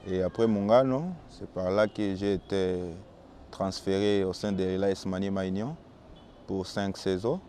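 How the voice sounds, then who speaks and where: A young man from Bukavu with a Congolese French accent, Swahili his first language, calmly answering interview questions as a football goalkeeper. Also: low voice, slight background noise. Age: young adult. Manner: calm and measured, with small restarts.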